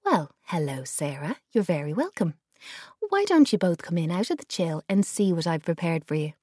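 A woman's voice: on the low side, sing-song, normal speed, darkish tone, breathy edge, no room sound, clean studio sound. A woman voicing a welcoming older grandmother whose voice is hard and catches in her throat. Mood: warm welcoming.

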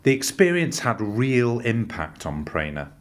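A man narrating a program in a smooth, scripted announcing style. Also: medium pitch, very animated, unhurried.

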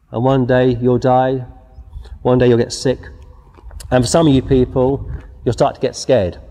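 A man preaching outdoors with a dark, mellow voice. He reads scripture and drives his warnings home with emphasis. His words trail off into a long, ringing echo. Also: mid-range voice. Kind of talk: outdoor sermon. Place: outdoors before scenery.

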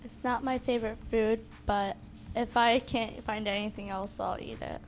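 A woman's voice: a little high, steady tone, normal speed, faint music behind. A ten-year-old girl camper introducing herself shyly. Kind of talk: casual chat.

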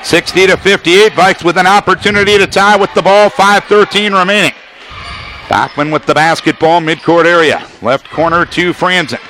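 A man calling a basketball game on radio, giving a quick, detailed call of every pass along with the score and the clock. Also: high voice, pushed and bright, slight background noise. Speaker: play-by-play announcer.

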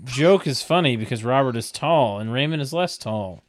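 A man's voice: medium pitch, animated, moderate pace. A man reading stage directions at a comedic table read, with wry, mocking asides.

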